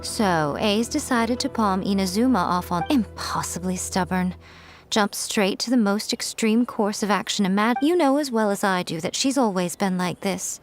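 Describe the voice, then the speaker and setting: A woman's voice: mid-range voice, normal speed, soft background music, close to an everyday voice. A woman playing a knowing, wry and faintly condescending lady in a video game, speaking in a poised, articulate way. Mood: composed, explanatory.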